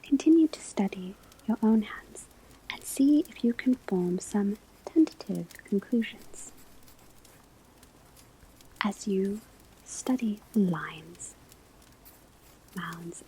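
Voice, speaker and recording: A calm, instructive professor of magic acted by a woman gives a whispered ASMR classroom lecture. The voice is soft, breathy and mellow, and moves very slowly and deliberately. Crackling fire and rustling pages sit audibly behind it. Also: mid-range voice. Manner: slow, deliberate, measured, instructive. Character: calm woman professor of divination at a witch school. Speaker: ASMR roleplay performer. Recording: clear background ambience noise. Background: classroom ambience, crackling fireplace, pages turning.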